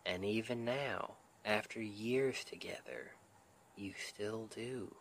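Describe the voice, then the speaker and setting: A man acts out a devoted Southern husband comforting his wife in an ASMR roleplay. His lowish voice has a Southern US accent and moves very slowly, gentle and affectionate, often slipping toward a whisper. Audible ambient noise sits behind him. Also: low-quality recording. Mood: earnest, loving. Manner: soothing, gentle, affectionate pet names, often half-whispered. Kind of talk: ASMR roleplay, intimate and soft.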